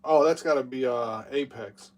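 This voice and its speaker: A man's voice: medium pitch, normal speed, darkish tone, distant mic. A man in his thirties hosting a live game stream, rambling with lots of fillers and hyped play-by-play. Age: 30s.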